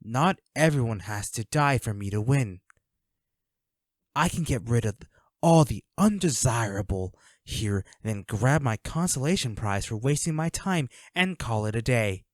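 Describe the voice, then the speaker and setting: A man playing a flirty, menacing villain in an audio roleplay, speaking to the listener with taunting, mock-sympathetic, unhurried delivery. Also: medium pitch, expressive, no echo, studio quality, natural voice. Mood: coaxing menace. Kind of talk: scripted audio roleplay acting addressed to the listener.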